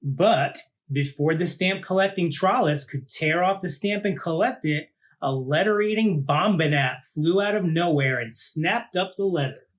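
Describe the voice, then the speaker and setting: A man, a teacher, reading a children's picture book aloud in an informal, expressive way with casual asides. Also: a little high, measured pace.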